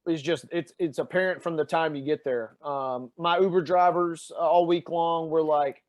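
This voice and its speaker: Animated Tennessee man telling stories. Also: a little high, normal speed. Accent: Southern American, Tennessee. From Tennessee, USA. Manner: animated storyteller with many fillers. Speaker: podcast guest. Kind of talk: casual chat.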